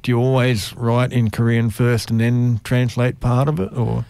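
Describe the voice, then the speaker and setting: A male interviewer with a middling pitch chats casually with a guest. He asks questions conversationally, often rewording them as he goes. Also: fairly even intonation, normal speed, gravelly, darkish tone.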